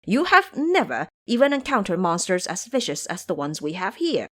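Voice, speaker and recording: Male woodcutter played by a woman, emphatic and exasperated, storybook adventure, mid pitch, big swings in tone. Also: sing-song, moderate pace, fairly bright tone. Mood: grim warning. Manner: scolding, emphatic. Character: blunt, exasperated male woodcutter warning travelers. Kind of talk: audio drama storytelling.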